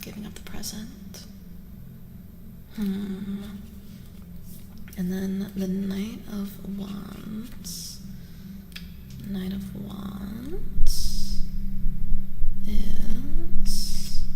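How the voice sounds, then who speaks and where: Soft, half-whispering woman with long reverb. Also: medium pitch, noisy background. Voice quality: soft, breathy, often dropping to a whisper. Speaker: ASMR host and tarot reader. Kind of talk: soft-spoken ASMR tarot reading. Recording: echoing long reverb, music underneath.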